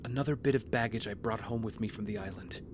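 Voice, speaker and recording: A man acting a brooding, guilt-ridden young vigilante hero in an audio drama, speaking in an earnest, measured, confessional way. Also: fairly low pitch, noisy background, lightly acted. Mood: troubled, confessional. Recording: music bed under the voice.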